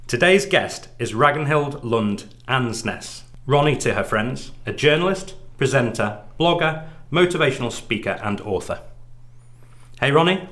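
A man hosting a podcast, introducing his guest and asking her questions in a smooth, practiced way. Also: mid-range voice, expressive, a little slow, a bit bright. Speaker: podcast host. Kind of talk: scripted ad read and interview questions.

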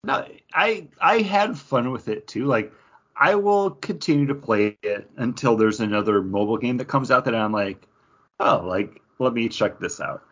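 A man co-hosting a podcast in casual chat, quick and jokey. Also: mid-range voice, lively intonation, fairly bright tone, husky, dull audio. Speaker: podcast co-host.

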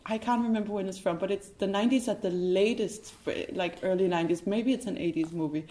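A woman's voice: medium pitch, fast, mellow. A woman from Denmark hosting a podcast interview in a theatre, chatting casually and laughing often. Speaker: podcast host.

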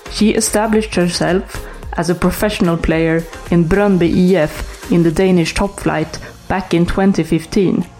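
A woman's voice: on the low side, darkish tone. A woman host reading a scripted introduction to a podcast episode. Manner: reads from a script.

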